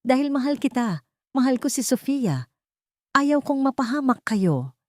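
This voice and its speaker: A woman's voice: high-pitched, very animated, unhurried, no echo, clean studio sound, pitched above the performer's own voice. A woman narrator with a Filipino accent voicing a pleading, tearful wife with a secret in an audiobook. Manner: imploring. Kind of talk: audiobook character dialogue.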